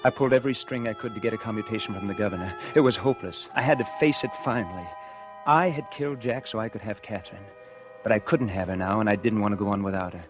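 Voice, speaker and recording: Actor playing low-voiced guilt-ridden man narrating, music behind. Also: quick pace, close to an everyday voice. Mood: despairing. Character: guilt-ridden murderer confessing, narrating his own downfall. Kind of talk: 1940s radio drama first-person narration. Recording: 1949 radio recording with music.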